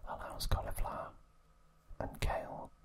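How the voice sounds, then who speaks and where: An ASMR host whispering softly and gently in a breathy, unvoiced voice, recapping trivia in an unhurried way. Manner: soft, gentle, unhurried storytelling.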